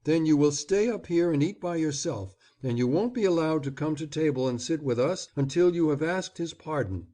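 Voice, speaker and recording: A man's voice: fairly high pitch, animated, normal speed, few pauses, mellow, pitched above the performer's own voice, lightly acted. Man voicing a fretful scolding society mother. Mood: stern. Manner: pleading then stern and commanding. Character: anxious scolding upper-class mother.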